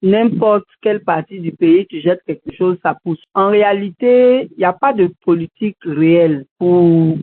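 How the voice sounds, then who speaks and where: Ivorian woman on a tinny call. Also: on the low side. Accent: Ivorian French. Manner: earnest, critical, measured. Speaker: host of a civic radio show. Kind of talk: commentary and answering callers. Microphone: video call. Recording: dry, tinny video-call audio.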